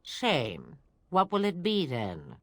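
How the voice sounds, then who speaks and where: A woman's voice: sits low, wildly swooping pitch, slow, no echo, exaggerated voice. An actress playing a sly, cryptic old witch in a video game, speaking theatrically in riddles. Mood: resigned, defiant. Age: older. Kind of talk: video game drama acting.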